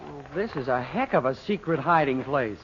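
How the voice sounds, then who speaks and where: A muffled old radio serial with faint noise features a boyish, higher male voice. an anxious, eager lad, earnest and excitable, with lively swings in pitch. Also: moderate pace. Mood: curious, uneasy. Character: eager, anxious boy searching for his lost father. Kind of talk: 1940s radio adventure acting.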